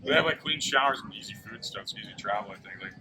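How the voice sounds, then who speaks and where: Fast-talking man from Missouri, casual host. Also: on the low side, animated. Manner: loose, slangy, filler-heavy questions. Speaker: host and interviewer. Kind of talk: casual festival interview. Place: outdoor music festival grounds. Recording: loud outdoor festival background noise.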